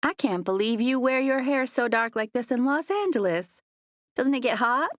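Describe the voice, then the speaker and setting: A woman narrator voices an entitled young Southern debutante in a slow, sugary drawl, pitched high and sweet. She stretches each word out lazily and sounds quietly condescending. The storybook read is close and dry, with no room around it. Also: lively intonation, no echo, natural voice.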